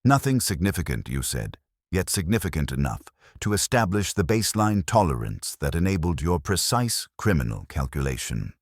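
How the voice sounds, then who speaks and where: A man playing a shrewd, courteous Belgian detective in an audiobook, speaking in formal, measured, theatrical deductions. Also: deep voice, very animated, no echo, clean studio sound. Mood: pointed, accusing.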